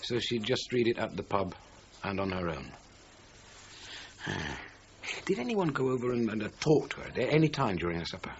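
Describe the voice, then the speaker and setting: An actor playing a middle-aged British police inspector in a radio crime drama, asking thorough, polite questions with thoughtful grunts. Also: male voice, on the low side, big pitch swings, moderate pace. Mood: questioning. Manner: questioning, hesitant musing with grunts. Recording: dull lo-fi radio recording, faint noise.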